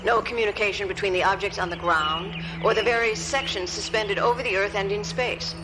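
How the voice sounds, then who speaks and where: An actress plays an analytical female scientist in a radio drama. She speaks at a middling pitch in a measured, explanatory way. Clear music runs behind her briefing. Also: lightly acted, low-quality recording. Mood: measured, factual. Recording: music under the voice.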